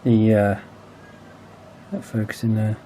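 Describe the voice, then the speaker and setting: A man's voice: lowish voice, little pitch movement, slow, warm tone, a little hiss or hum. A man in his forties narrating a hands-on repair casually, hesitant and thinking aloud as he goes. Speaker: video host, radio restoration hobbyist.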